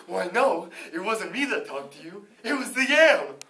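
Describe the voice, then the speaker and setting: A woman voicing a calm talking farm dog who answers matter-of-factly. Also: medium pitch, moderate pace, voice pushed up. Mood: smug. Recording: roomy room, distant mic.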